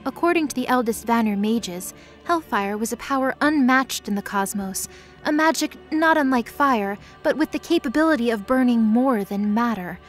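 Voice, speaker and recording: A woman's voice: on the high side, animated, normal speed, faint music behind. A woman reading scripted third-person narration for a fantasy audio drama.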